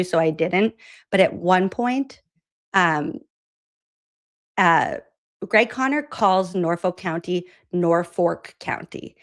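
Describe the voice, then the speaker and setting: A woman from Massachusetts hosting a political commentary show, chatty and sarcastic, laughing often. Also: mid-range voice. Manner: sarcastic, incredulous. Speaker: host.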